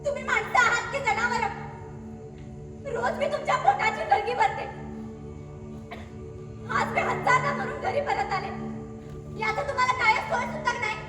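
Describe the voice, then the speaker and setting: Devout, long-suffering wife , played by a woman, earnest and pleading, very high voice, caught from a distance in an echoey theatre with music behind. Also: normal speed, long pauses. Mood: indignant. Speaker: stage actor. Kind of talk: stage acting in a one-act play. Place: theatre stage. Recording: echoey hall, far mic, music.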